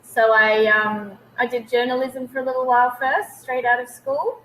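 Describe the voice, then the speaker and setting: An Australian woman in her late thirties speaks with a bright, tense voice, a little higher than most. She talks casually and hesitantly over a video call, and a faint noise sits under her voice. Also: steady tone, normal speed, poor audio. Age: 30s. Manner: hesitant, many ums. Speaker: guest naturopath. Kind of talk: casual webinar interview.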